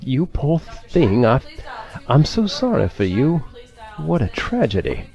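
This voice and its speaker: An actor playing a sympathetic man who consoles gently in an audio drama. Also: medium pitch, sing-song, slow pace, vocal fry, muffled recording, faint music behind, heavily acted. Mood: concerned, sympathetic. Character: concerned, sympathetic man comforting a distraught woman.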